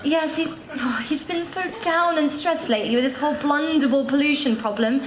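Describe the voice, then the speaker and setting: A woman's voice: sits high, normal speed, natural voice. A woman playing a sweet, polite, earnest young heroine in a pantomime on stage. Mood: admiring. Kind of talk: British pantomime stage acting. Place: theatre stage before an audience. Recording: echoey, very noisy theatre stage recording.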